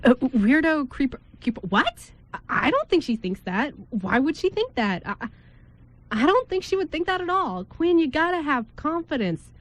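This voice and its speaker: A woman's voice: high-pitched, big pitch swings, moderate pace. A woman voicing a teasing, encouraging male groom and loyal buddy in an amateur radio play, playful and reassuring.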